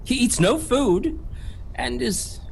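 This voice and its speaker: An actor playing a terrified young man trapped in a castle, haltingly writing his journal in a horror radio drama. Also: very high voice, expressive, exaggerated voice, rough recording. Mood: haunted dread. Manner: halting, repeating himself in dread. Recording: clear background noise and ambience.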